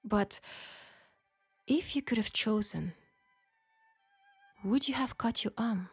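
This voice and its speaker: A woman playing a flirtatious, caring Parisian seamstress in an intimate steampunk audio roleplay, speaking with a French accent and a soft, hesitant delivery. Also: on the high side, slow pace, husky, no echo, faint music behind, heavily acted. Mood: troubled, compassionate. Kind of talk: intimate scripted audio roleplay addressed to the listener.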